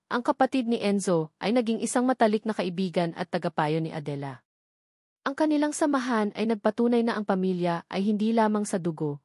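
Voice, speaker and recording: A woman's voice: medium pitch, a little mellow, dry recording. Close, dry-voiced woman narrating. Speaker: story narrator. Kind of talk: scripted sentimental story narration.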